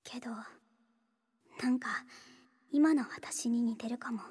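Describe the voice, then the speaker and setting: A woman playing a reserved, thoughtful teenage schoolgirl in a Japanese anime game, speaking softly and hesitantly with a breathy voice. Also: fairly high pitch, steady tone, heavily acted. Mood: wistful, pensive. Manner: hesitant, gentle, measured.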